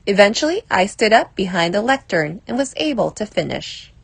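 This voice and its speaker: A woman reading a self-help article aloud as scripted narration, in a measured, clearly phrased way. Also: medium pitch, big pitch swings, no room sound.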